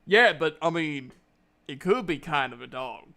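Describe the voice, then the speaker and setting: Man, bright forceful voice, slightly high, jokey casual game-night narration. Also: animated, a little slow. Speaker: tabletop RPG player. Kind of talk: casual improvised roleplay narration.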